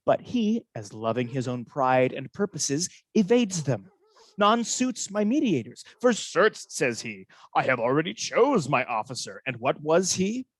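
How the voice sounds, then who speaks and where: Guy doing a scheming slighted ensign, shakespeare audio drama, theatrical, high pitched and swoops around a lot. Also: very animated, measured pace, dry recording, poor audio. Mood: bitter, scheming. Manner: theatrical, sardonic. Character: scheming, bitter slighted military ensign, male villain. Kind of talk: Shakespearean radio play acting. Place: recorded at home over a video call.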